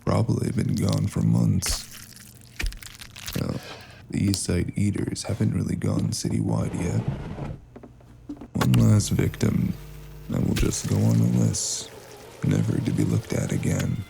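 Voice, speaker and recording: A man's voice: low voice, sing-song, measured pace, gravelly, long pauses, noisy background, exaggerated voice. A man playing a cold, calculating killer who narrates a cyberpunk noir audio drama in ominous, clipped phrases. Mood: detached musing.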